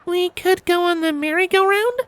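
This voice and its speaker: A man voicing an eager, caring young female pony from a cartoon world, earnest and quick to apologise, with music behind. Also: extremely high pitch, fairly bright tone, no room sound, pitched above the performer's own voice.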